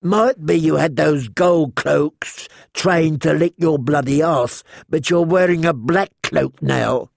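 A man's voice: a little high, takes it slowly, gravelly, hardly any pauses, no echo, clean studio sound, exaggerated voice. A man doing a coarse, sneering ranger.

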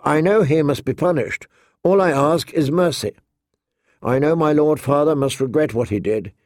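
A man's voice: fairly high pitch, moderate pace, darkish tone, dry recording, studio quality, close to an everyday voice. A man voicing an earnest young noble girl in an audiobook, pleading eagerly and deferentially.

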